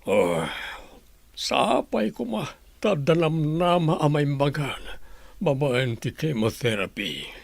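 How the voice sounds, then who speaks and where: A man with an Ilocano Filipino accent playing a gruff, irritable but caring old grandfather in a radio comedy-drama. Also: a little high, sing-song, slow, no echo, full character voice. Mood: concerned. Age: older.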